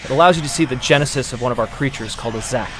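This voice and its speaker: Game noise is clearly audible behind a man of medium pitch. He gives walkthrough commentary as a producer showing off a demo. His delivery is explanatory and measured.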